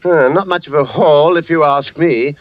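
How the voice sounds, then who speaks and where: A man's voice: fairly high pitch, moderate pace, background noise, lightly acted. An actor playing a nervous, quipping male manservant in a 1940s radio drama, fussy and formal. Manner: flustered, comic, clipped formal speech. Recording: dull lo-fi old radio recording.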